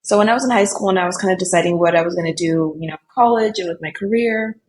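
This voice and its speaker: A young woman chats easily as a podcast guest, dropping plenty of "like" and "you know" as she speaks. Her voice sits on the low side for a woman. A faint hiss of noise runs under her speech. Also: fast talker. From Colombia, raised in California Bay Area. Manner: chatty, frequent "like" and "you know". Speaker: podcast guest, developer relations lead. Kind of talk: casual interview chat.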